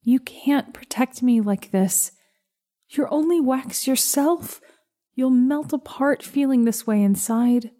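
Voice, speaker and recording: An earnest young schoolgirl, acted by a woman narrator speaks in a story. She is gentle and protective, pleading softly in a mellow voice. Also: high voice, takes it slowly. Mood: pleading, hushed. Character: earnest, protective schoolgirl consoling her wax dragon.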